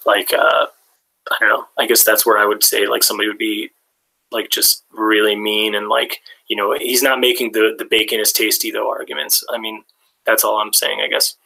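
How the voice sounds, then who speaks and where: A man's voice: lowish voice, flat delivery, moderate pace, vocal fry, pushed and bright, low-quality recording. A man hosting a casual livestream, answering chat questions in a rambling way with many fillers and restarts. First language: English and Hungarian. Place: lives in Hungary.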